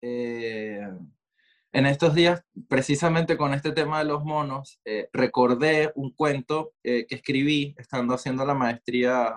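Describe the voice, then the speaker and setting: A man's voice: mid-range voice, steady tone, a little slow. Reflective Venezuelan man, easygoing talk. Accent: Venezuelan Spanish. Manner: reflective, digressive, with many fillers and asides. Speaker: interview guest, writer. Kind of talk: conversational interview.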